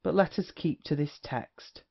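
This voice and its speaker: A performer playing a witty, lewd, cynical gentleman rake in an audio play of a period comedy. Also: mid-range voice, measured pace, gravelly. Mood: wry, lewd. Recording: dull, lo-fi audio.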